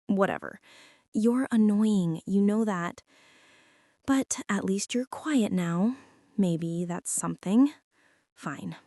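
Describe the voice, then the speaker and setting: A woman's voice: medium pitch, slow pace, long pauses, dark tone, no echo. A young woman playing a prickly, defensive teenage school bully in an intimate girlfriend ASMR roleplay, sulky and sarcastic, close to the mic. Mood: grudging, quieter. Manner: sulky, sarcastic, huffy, with sighs and whispers.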